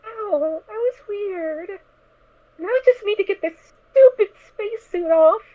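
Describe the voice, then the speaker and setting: Audio drama role: tiny young filly pony, piercingly high, slow halting whine, bratty and scared. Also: phone-quality sound. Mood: annoyed. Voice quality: squeaky little-girl voice. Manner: whiny, exclamatory, halting. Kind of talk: fan audio drama acting.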